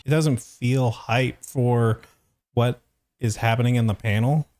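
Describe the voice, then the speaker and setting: A man's voice: medium pitch, steady tone, measured pace, darkish tone, slight background noise. A young man who voice acts, giving casual, analytical reaction commentary in a hesitant, searching way. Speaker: voice actor reacting and reviewing.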